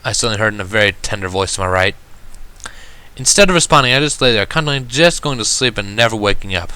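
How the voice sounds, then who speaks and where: Bright-voiced man reading a story. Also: lowish voice, animated, fast talker, clear, resonant tone, dry recording, rough recording. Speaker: reader of fan fiction. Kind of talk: expressive first-person story narration. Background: background music.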